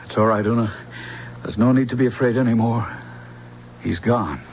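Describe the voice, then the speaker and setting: A man's voice: medium pitch, moderate pace, close to an everyday voice. Casting: male actor as devoted android manservant, earnest radio-play hero, middle register, dull noisy vintage recording. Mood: grave, gentle. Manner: earnest, theatrical. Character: loyal male humanoid robot servant, protective, devoted lover. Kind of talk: old-time radio drama acting.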